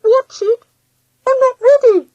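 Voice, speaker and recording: A frightened, grumpy little father mouse played by a woman squeaks out blustering protests in an extremely high voice. The tone is rounded and soft, with a storybook feel, on a dry, dull recording. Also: slow, no echo. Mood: nervous stalling. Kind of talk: storybook character voice.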